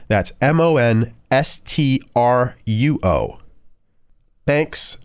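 Low-voiced man narrating dark true crime. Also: no room sound, studio quality. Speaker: podcast host and narrator. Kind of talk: scripted narration of a true-crime horror podcast. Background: music.

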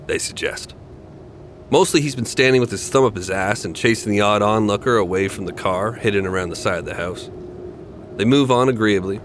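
Low voiced guy narrating a crime story, faint street noise behind him.

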